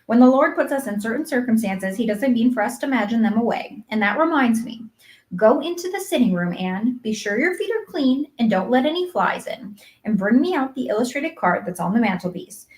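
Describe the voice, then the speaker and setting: A woman playing a stern, unsentimental guardian in a storybook, brisk, matter-of-fact and disapproving. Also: a little high, expressive, natural voice. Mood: stern, instructing. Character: stern, practical, unsentimental woman raising an orphan. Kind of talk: acted audiobook dialogue.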